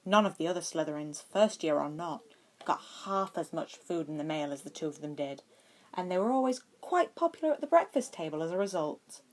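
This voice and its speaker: A woman's voice: sits low, expressive, brisk. A woman reading a story aloud as scripted narration. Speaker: fan fiction podfic reader.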